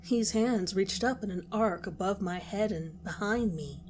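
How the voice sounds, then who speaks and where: A woman narrating a horror story in a slow, hushed voice that sometimes drops to a whisper. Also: mid-range voice, slight background noise.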